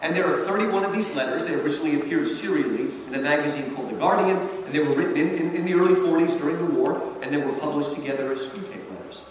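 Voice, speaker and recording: A man's voice: a little high, fast talker, few pauses. An American man from New Jersey giving a public lecture with humorous stories, in a theatrical, emphatic manner. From New Jersey, United States. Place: large reverberant event hall. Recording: echoey hall from far off, noisy.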